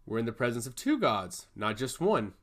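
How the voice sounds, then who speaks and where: A wry, quick-witted woman, voiced by a male narrator, makes her case with lively pitch. She is firm and persuasive, a fantasy audiobook heroine. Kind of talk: fantasy audio story dialogue.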